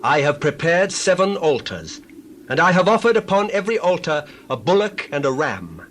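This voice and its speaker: Theatrical, animated prophet Balaam. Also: male voice, fairly high pitch, moderate pace, a little hiss or hum. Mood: solemn. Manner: declamatory, theatrical. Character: Balaam, intense theatrical male biblical prophet. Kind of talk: dramatized scripture reading.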